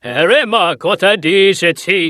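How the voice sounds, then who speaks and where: Poor pleading woodcutter father , voiced by a man: high, bright, dry studio read. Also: big pitch swings, moderate pace, no echo, full character voice. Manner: pleading, tender.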